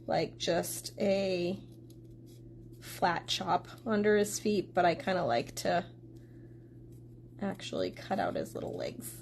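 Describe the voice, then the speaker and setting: A woman of middle age talks viewers through a card-making demo in a chatty, running commentary. Her voice has a gravelly fry to it, and she sounds a little congested from a lingering sore throat. A faint noise sits under the recording. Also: medium pitch, a little mellow. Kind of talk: casual craft tutorial.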